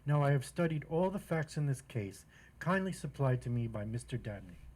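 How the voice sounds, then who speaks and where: A man's voice: medium pitch, very animated, moderate pace, darkish tone. Man acting a pompous, officious judge. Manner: pompous, formal. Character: pompous, platitude-spouting domestic court judge. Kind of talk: old-time radio comedy acting.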